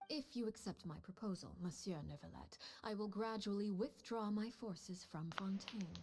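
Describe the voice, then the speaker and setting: An actress playing a composed, calculating female leader who speaks in smooth, measured words with veiled threats. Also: mid-range voice, background noise, natural voice. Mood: cool.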